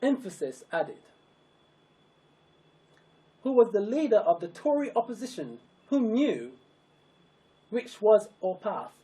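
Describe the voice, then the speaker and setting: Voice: medium pitch, big pitch swings, takes it slowly, long pauses, dark tone, rough recording. A narrator delivering a scripted public address in solemn, emphatic, declamatory style, breaking sentences into short, weighted phrases.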